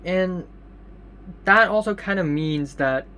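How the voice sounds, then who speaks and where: A young man rambling through a casual video diary with many ums and restarts, his voice slightly raspy. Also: sits high, slow, sharp tone, slight background noise. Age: young adult. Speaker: vlogger.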